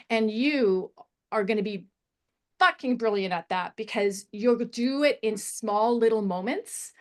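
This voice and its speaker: A woman giving conversational story notes as a mentor, emphatic and encouraging. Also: medium pitch.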